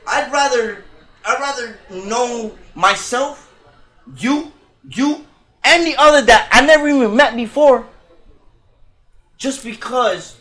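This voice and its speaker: A man's voice: high-pitched, a bit bright, echoey room. A man co-hosting a casual podcast, talking in a rambling, emphatic way and often checking in with his listeners. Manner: rambling, emphatic, keeps asking "you feel me". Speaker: podcast co-host. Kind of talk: casual, tipsy podcast chat.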